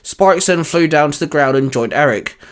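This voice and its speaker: A man narrating a fan fiction story in an even, measured reading style. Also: a little high.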